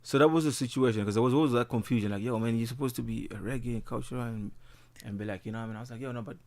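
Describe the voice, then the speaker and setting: A man's voice: mid-range voice, racing, darkish tone. A man talking casually in an interview as a musician, laid-back, full of slang and fillers. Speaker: guest musician.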